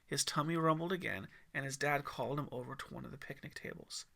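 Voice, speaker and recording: Bright, creaky-voiced male audiobook narrator. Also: medium pitch, quick pace. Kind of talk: expressive scripted story narration.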